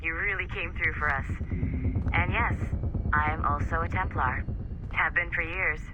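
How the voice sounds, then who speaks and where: An actor playing a breezy, teasing office colleague with a secret allegiance in a video game, casual in delivery. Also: male voice, on the high side, fairly even intonation, unhurried, narrow, tinny audio, noisy background, lightly acted. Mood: breezy, smug.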